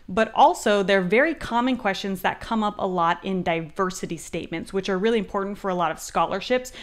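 Young female advisor, clear and upbeat, walking through an essay on a desk mic. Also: on the low side. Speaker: grad school admissions advisor. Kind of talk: reading and critiquing an essay on screen.

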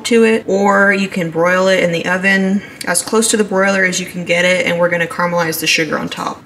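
A woman's voice: medium pitch, a bit bright. Young woman's cooking vlog, noisy kitchen. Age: young adult. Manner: explains step by step, chatty. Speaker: home cook hosting a cooking vlog. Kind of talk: casual cooking demonstration. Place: indoors in home kitchens. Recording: clearly noisy home kitchen ambience.

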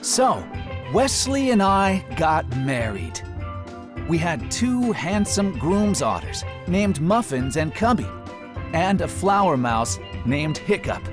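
A man reading a children's story aloud as a trained voice actor, theatrical and deliberate in his emphasis. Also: fairly high pitch, big pitch swings. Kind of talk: expressive children's storybook narration. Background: soft music.

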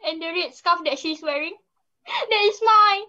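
A woman's voice: very high-pitched, unhurried, bright, forceful tone, full character voice. A teenage girl with a Singaporean accent playing an aggrieved fairy-tale grandmother who complains theatrically. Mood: accusing. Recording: muffled video-call audio.

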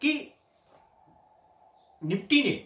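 A middle-aged Indian man hosting a daily stock market update, briskly reading out price levels and tips. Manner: brisk, reads out price levels in a lively sing-song. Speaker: stock market analyst host. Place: small indoor room with patterned curtain. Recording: echoey room.

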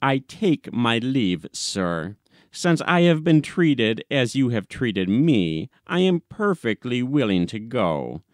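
A man's voice: mid-range voice, sing-song, slow, no room sound, studio quality. A man reading an audiobook, playing a brash, self-righteous newspaperman who rants in a pompous, declamatory way. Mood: wounded dignity. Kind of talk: dramatic audiobook reading of classic comic short story.

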